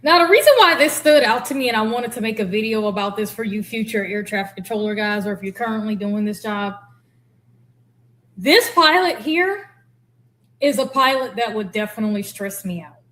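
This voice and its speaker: A woman's voice: a little high, normal speed, fairly bright tone. A woman giving casual, candid explanatory commentary as a former air traffic controller.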